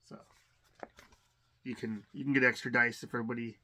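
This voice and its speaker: Bright-voiced man rambling through an unboxing. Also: mid-range voice, level tone. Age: adult. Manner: rambling, halting, many fillers and restarts; enthusiastic about what he finds. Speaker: unboxing channel host. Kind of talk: casual unboxing commentary.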